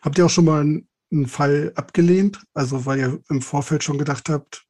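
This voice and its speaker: Mellow-voiced man interviewing. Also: on the high side, fairly quick. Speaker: podcast interviewer. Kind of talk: casual interview questions.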